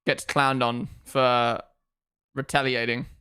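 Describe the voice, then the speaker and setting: Bright-voiced Kiwi-Aussie streamer. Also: male voice, mid-range voice, little pitch movement, smooth. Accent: mixed New Zealand and Australian. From New Zealand. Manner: chatty, quick asides, reacts loudly to on-track moments. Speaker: streamer hosting a watchalong. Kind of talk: casual live commentary, reading chat.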